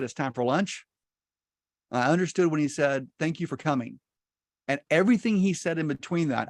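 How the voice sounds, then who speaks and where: A man's voice: a little high, no room sound. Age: middle-aged. Sex: man. Native: Spanish. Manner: folksy, upbeat. Mic: dry video call. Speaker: real estate investing teacher. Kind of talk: casual talk over video call.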